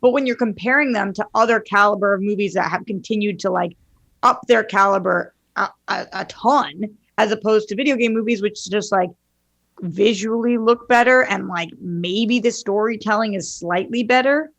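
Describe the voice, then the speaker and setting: A woman's voice: mid-range voice, normal speed, fairly bright tone, no echo. A woman talking casually as a podcast panelist, giving opinions in an informal, sweary way.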